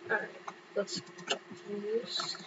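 A woman's voice: medium pitch, level tone, slow. A young woman casually narrating her own game play, chatting to herself and to other players. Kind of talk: casual gameplay commentary. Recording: dull lo-fi mic with clear background hum.

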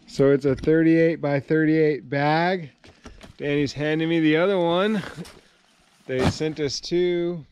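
A man in his thirties casually reviewing gear outdoors beside his van, relaxed and full of fillers. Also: a little high, slow pace, clean tone. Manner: relaxed, filler-heavy, easygoing. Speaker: vlogger.

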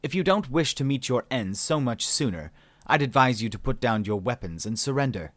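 A man reading an audiobook, voicing a calm, condescending male alien scientist with polite, mocking, unhurried speech. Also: medium pitch, dry recording, close to an everyday voice. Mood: smug, condescending.

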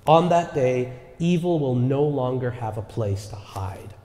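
Man, pastor, earnest sermon, mellow warm tone, long reverb, church echo. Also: medium pitch, animated, a little slow. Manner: earnest preaching with repeated rhetorical "right?" asides. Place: church sanctuary.

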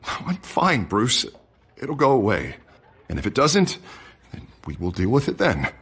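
An actor playing an anguished, unstable male politician in a dramatic video game, with a husky voice. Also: medium pitch, huge pitch swings, unhurried, fairly bright tone, exaggerated voice. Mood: uneasy denial.

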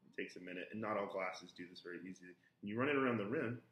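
A man in his thirties, a music teacher giving a relaxed, chatty lesson at home, full of ums and uhs, recorded by a camera on a kitchen table. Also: sits low, racing. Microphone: camera on the table in front of him. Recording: echoey kitchen with faint noise. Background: glasses clinking.

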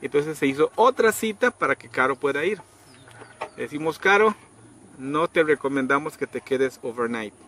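A middle-aged man casually telling a story outdoors, acting out quoted people with sudden high pitched voices. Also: lively intonation, moderate pace, fairly bright tone. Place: outdoors under trees in a rural yard. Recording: outdoor noise in background.

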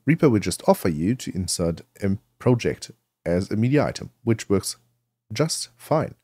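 A man presenting a software tutorial, explaining things step by step into a close microphone. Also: on the low side, sing-song, moderate pace, slightly rough, dry recording.

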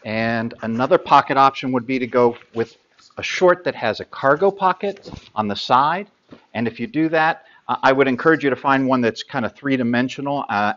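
A middle-aged man talks through sailing gear in a practical, unhurried way, filling pauses with ums and uhs. His voice sits at an ordinary pitch. The studio recording is slightly dull. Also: a bit bright. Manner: practical, hands-on, many ums and uhs while handling gear. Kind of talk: product explainer.

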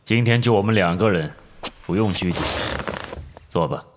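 A man acting a commanding older gang boss in an audio drama, measured, calm and quietly menacing. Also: lowish voice, noisy background, lightly acted. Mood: genial, composed. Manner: measured, authoritative.

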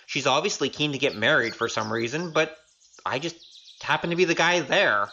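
An actor in an audio drama playing a quick-witted young swordsman, wry and coaxing. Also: medium pitch, sharp tone, muffled recording, slight background noise. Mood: urgent, coaxing.

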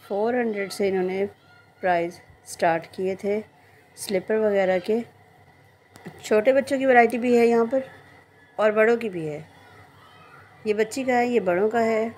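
A woman's voice: mid-range voice, a little mellow. A woman narrating a shopping vlog, presenting stalls and prices. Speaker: shopping vlogger. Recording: clearly noisy background.

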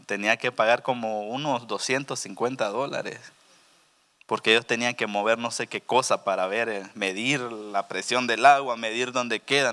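A middle-aged man, a pastor giving a testimony sermon on a church stage into a handheld mic, swinging between quiet asides and loud exclamations. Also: medium pitch, clear, resonant tone. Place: church stage with purple lighting. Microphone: handheld microphone.

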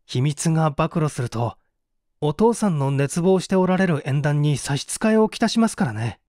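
A man's voice: on the high side, lively intonation, moderate pace, a little mellow, dry recording, clean studio sound, natural voice. A man voicing an earnest, dutiful young man who speaks politely and formally in a dramatized story reading. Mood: calm, explaining. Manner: formal, earnest, emphatic phrasing.

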